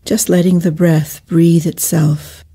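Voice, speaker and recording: Female meditation guide, deeply mellow and relaxed timbre, soothing and measured, leaving gentle pauses. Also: breathy edge.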